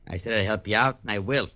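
A man's voice: lowish voice, normal speed, dull audio, natural voice. Man acting a slangy, sly burlesque comic, very noisy recording. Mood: sly, chummy. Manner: slangy, chuckling wise guy. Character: wisecracking baggy-pants burlesque comic, a sly schemer. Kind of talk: 1940s radio acting. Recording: very noisy, clicking old radio recording.

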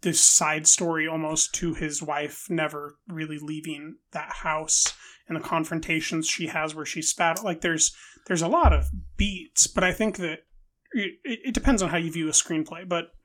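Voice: mid-range voice, fairly bright tone. A film podcast co-host in an analytical discussion, building wordy arguments with hesitant, repeated words.

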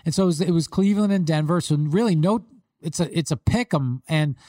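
A man co-hosting a casual sports talk show, telling animated stories and restarting his sentences often. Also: on the high side, brisk, no room sound, studio quality. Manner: animated storytelling with many restarts. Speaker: podcast co-host, rules expert.

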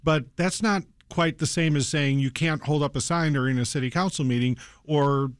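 A man on a radio news roundtable in a studio, speaking in a measured, deliberate way and pausing to find his words. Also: a little high, smooth, no echo. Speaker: panelist, good-government advocate.

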